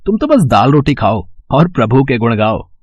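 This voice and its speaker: A man's voice: mid-range voice, very animated, moderate pace, darkish tone, no room sound, highs cut off. Man as teasing, affectionate grown son, dry sound.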